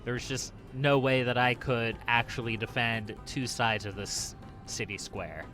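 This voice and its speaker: A man's voice: mid-range voice, fairly even intonation, measured pace, pushed and bright, faint music behind. A man giving casual let's play commentary on a video game, thinking aloud in a wry, resigned way. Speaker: gaming channel host.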